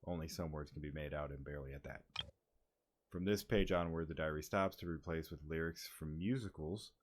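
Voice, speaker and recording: Male gaming streamer with a deep voice who reads on-screen text and reacts off the cuff. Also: brisk. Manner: reads dialogue aloud, breaks off to react and swear. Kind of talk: reading game text aloud with casual reactions.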